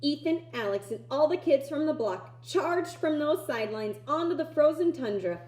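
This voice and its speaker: A woman reading a children's picture book aloud in an expressive storytelling style. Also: high voice, normal speed. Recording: echoey room.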